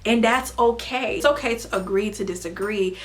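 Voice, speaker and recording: A young woman from the United States talking casually to her viewers as a mother, earnest and faith-filled, with playful animated bursts. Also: medium pitch, moderate pace, slight background noise. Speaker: vlogger and mom. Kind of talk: casual talk to camera.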